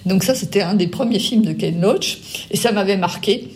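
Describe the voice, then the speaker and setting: A woman's voice: mid-range voice, moderate pace, a little mellow. An older woman interviewing an author about her novel, speaking hesitantly with many fillers and restarts. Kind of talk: radio book interview.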